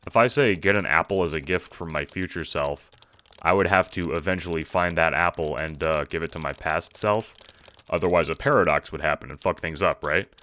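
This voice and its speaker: A man's voice: low-pitched, a little fast, sharp tone. A man playing a casual, foul-mouthed young time-traveling guy in an audio drama, talking in hesitant slang. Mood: curious, eager.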